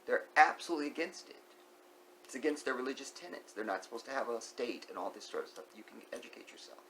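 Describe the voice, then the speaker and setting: A man vlogging an opinionated monologue. He repeats key phrases, asks the viewer rhetorical questions and sometimes sinks to a hushed whisper. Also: medium pitch, animated, fast, a little hiss or hum, rough recording.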